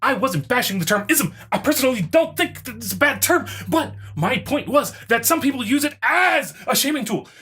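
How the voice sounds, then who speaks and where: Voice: high voice, very animated, normal speed, few pauses, slightly rough, full character voice. A performer voicing an indignant, pompous, dim online commenter in a mocking, overblown dramatic reading. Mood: smug, mocking. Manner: overblown, shouty, swooping delivery.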